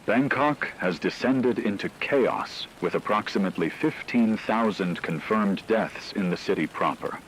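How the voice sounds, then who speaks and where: A man playing a grave radio news anchor, delivering a formal, measured, analytical scripted report on an apocalypse. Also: low voice, a little hiss or hum, poor audio.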